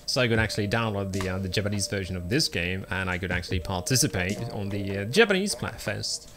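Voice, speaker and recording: Man, gaming streamer, rambling casual chat, fillers, lower voice, clear music underneath. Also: animated, fast. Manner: rambling, chatty, answers chat, frequent ums and uhs. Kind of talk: casual live-stream commentary while gaming. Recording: game music playing behind. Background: game music.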